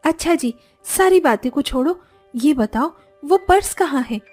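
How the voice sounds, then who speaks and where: A woman's voice: sits high, expressive, unhurried, darkish tone, soft background music. A woman playing a greedy, scolding village wife in a moral story, full of exclamations. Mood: nagging.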